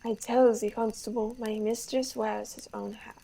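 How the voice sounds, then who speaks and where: Young woman as vain French prince for radio Shakespeare. Highish, soft-edged voice, declamatory, besotted with his horse. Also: little pitch movement, moderate pace, gravelly. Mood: haughty, teasing. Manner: grandiose, declamatory. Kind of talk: radio theater Shakespeare acting.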